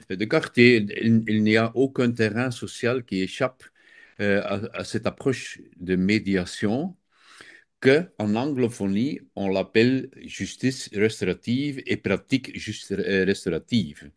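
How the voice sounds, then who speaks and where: A man with a Flemish accent, a native Dutch speaker, explaining his field as an interview guest while often searching for words. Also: fairly low pitch. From Belgium. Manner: hesitant, searching for words, many fillers. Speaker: guest expert.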